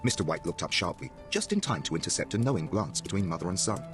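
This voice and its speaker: A man's voice: low-pitched, animated, quick pace, fairly bright tone, faint music behind. A man narrating a story as an audiobook narrator, in a dramatic scripted reading style.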